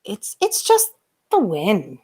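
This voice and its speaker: A woman plays an eager young boy cat from a children's storybook. The delivery is dramatic and swings widely in pitch, lifted above the reader's usual voice, with a slow, drawn-out pace. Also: huge pitch swings, a little mellow, breathy edge, exaggerated voice. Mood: sleepy, dismissive. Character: Bob, excited boy cat telling spooky campfire tales. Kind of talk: children's storybook read-aloud.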